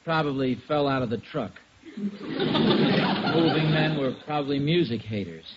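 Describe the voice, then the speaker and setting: A mild American husband in 1940s radio comedy, defensive and easily needled. His voice sits fairly high and moves around freely, through a noisy, muffled old recording. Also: expressive, unhurried. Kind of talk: 1940s radio sitcom acting.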